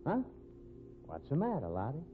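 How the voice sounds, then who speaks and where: An actor playing a cocky, evasive rodeo cowboy in a 1950s radio drama, with American accent and folksy Western slang. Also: male voice, mid-range voice, wildly swooping pitch, normal speed, phone-quality sound, music in the background. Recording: thin, noisy vintage radio recording.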